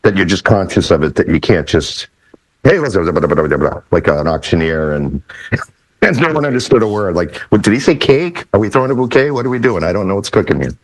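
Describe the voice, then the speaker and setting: A man's voice: deep voice, sing-song, brisk, no echo, low-quality recording. A man chatting casually as a DJ panelist, explaining things and acting out little examples as he goes. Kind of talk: casual chat with acted examples.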